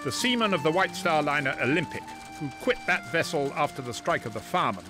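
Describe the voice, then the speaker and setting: A man's voice: on the high side, sing-song, a little fast, music in the background. An actor playing an urgent 1912 newspaper man declaiming cable dispatches in a radio drama. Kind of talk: scripted news reading in radio drama.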